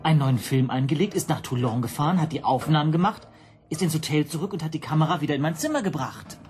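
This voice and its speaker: A man's voice: on the high side, fast, darkish tone, soft background music, lightly acted. An actor playing an anxious stateless man who narrates his own ordeal in a brooding, self-questioning way for a German radio drama. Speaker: first-person narrator and protagonist.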